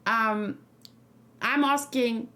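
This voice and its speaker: A woman's voice: a little high, fairly even intonation, very slow, bright, forceful tone. Emphatic woman streamer chatting casually. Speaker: streamer hosting a reaction and discussion. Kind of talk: casual livestream chat, answering viewers' questions.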